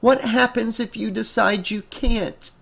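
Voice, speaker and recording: A man's voice: sits high, steady tone, measured pace, gravelly, fairly bright tone, voice pushed up, heavily acted. A man voicing a distraught, exhausted mother in an audiobook, with a pleading, exasperated manner. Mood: pleading, desperate. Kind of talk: audiobook character acting.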